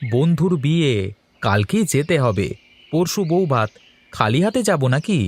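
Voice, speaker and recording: A man's voice: fairly high pitch, very animated, normal speed, darkish tone. A man voicing a carefree, cheeky young man just out of college in a cartoon. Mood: dismissive, impatient. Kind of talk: Bengali cartoon dub acting.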